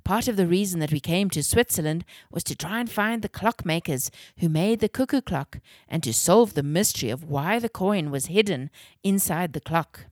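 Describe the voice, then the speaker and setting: Storybook father, read by a woman: calm, friendly, plainly explanatory, dry studio-like sound. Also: on the low side, moderate pace, no echo, close to an everyday voice. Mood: explaining.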